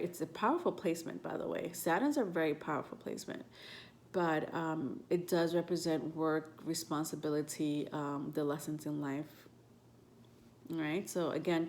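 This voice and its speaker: Stuffed-up woman vlogger with a low voice, loose and rambling delivery, filler-heavy, slight room hiss. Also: darkish tone. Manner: rambling, frequent ums and self-corrections. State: stuffed up nose. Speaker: tarot and astrology vlogger. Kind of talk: casual talk to camera.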